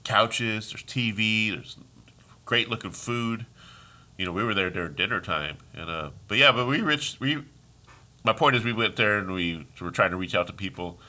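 Deep-voiced, bright, congested man ranting. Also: moderate pace. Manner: heated, ranting, trails off and restarts. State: congested, sniffling. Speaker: podcast host. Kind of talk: casual solo podcast monologue.

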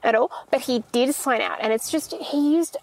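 Actress as anxious young female shop employee, high voice, polite and rambling, hesitant naturalistic audio-fiction delivery. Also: moderate pace, creaky, low-quality recording. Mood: uneasy, rambling. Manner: hesitant, rambling, nervous stammering and self-correcting.

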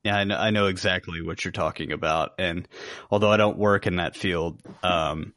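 Low-voiced man, close dry sound. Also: steady tone, normal speed, fairly bright tone, no room sound. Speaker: podcast co-host. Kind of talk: casual film review chat.

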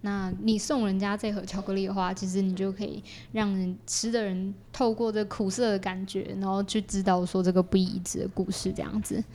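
A woman's voice: medium pitch, little pitch movement, brisk, few pauses, dark tone, a little hiss or hum. A woman with a Taiwanese Mandarin accent explains things in a chatty way as a guest in a casual podcast interview, often repeating her agreement. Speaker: podcast guest, exhibition project creator.

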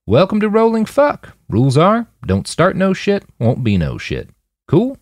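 A man's voice: high-pitched, wildly swooping pitch, a little slow, dry recording, clean studio sound, voice pushed up, heavily acted. A man voicing a laid-back, blunt camp guard with a casual, folksy way of talking in an audiobook story. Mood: breezy welcome.

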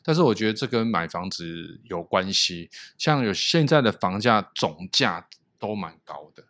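A man hosting a podcast, asking questions and offering his views in relaxed talk. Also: lowish voice, moderate pace, no room sound, clean studio sound. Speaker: podcast host. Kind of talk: casual conversational interview.

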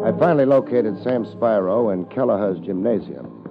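An American actor playing a laid-back, wisecracking hard-boiled private investigator in a 1950s radio detective drama. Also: male voice, on the low side, sing-song, normal speed, creaky, loud music behind the voice. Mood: brooding narration. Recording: muffled old 1950s radio recording.

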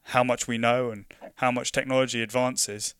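A man's voice: mid-range voice, little pitch movement, moderate pace, fairly bright tone, clear, resonant tone, dry recording. A young man from London with a London accent, talking casually in an interview, full of restarts and "you know" fillers. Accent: London English. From London, England. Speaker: conservation project worker.

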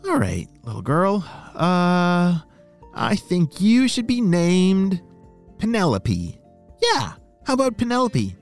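A man voicing a plucky, resourceful young girl who excitedly thinks aloud in a children's story. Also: high-pitched, takes it slowly, faint music behind, voice pushed up, exaggerated voice. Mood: gentle, musing.

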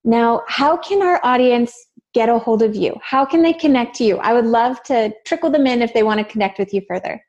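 A woman's voice: fairly high pitch, brisk, dull audio. Effusive Canadian woman host. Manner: warm, effusive, affirming. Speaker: podcast host. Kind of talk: casual interview.

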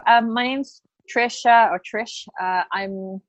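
A woman's voice: fairly high pitch, slow, no room sound, muffled recording. A woman in her late thirties who grew up in Australia, a health coach talking in a podcast interview, hesitant with many fillers and restarts. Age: late 30s.

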